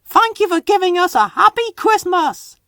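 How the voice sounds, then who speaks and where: A man's voice: very high voice, expressive, normal speed, bright, forceful tone, dry recording, clean studio sound, voice pushed up, full character voice. A man voicing joyful, grateful villagers calling out cheers in a children's storybook.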